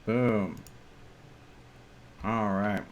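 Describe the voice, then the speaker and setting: A man's voice: fairly low pitch, fairly even intonation, drawn-out words. Man streaming a game over background noise. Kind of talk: casual live gameplay commentary.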